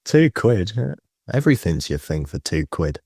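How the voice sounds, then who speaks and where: A man's voice: low voice, very animated, moderate pace, a little mellow, slightly rough, dry recording, studio quality. A man co-hosting a podcast, tossing in wry, joking remarks. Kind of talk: casual chat.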